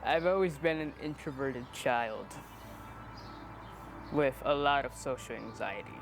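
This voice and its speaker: A man's voice: mid-range voice, unhurried, faint music behind. A man of twenty-one chatting casually in a rambling, self-deprecating, playfully joking way. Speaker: interviewee, amateur videographer. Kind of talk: casual chat.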